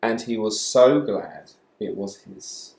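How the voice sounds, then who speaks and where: A man reading a children's story aloud as a storyteller, with animated, dramatic emphasis. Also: fairly low pitch, takes it slowly. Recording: echoey room.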